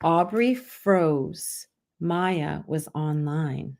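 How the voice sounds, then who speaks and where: A woman's voice: sits low, drawn-out words, a little mellow. A woman author reading a children's story aloud to listeners. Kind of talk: scripted story read-aloud.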